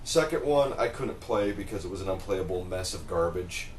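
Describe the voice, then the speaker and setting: Lowish-voiced man, echoey and off mic. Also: expressive, moderate pace, far from the mic. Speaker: podcast co-host. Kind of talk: casual chat about games and films. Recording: echoey room, off mic, faint noise.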